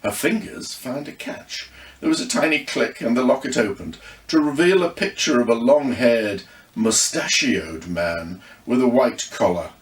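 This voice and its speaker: Slow, expressive English man reading a story. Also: mid-range voice. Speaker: author reading his own story. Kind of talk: expressive scripted storybook narration. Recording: roomy echo, distant microphone.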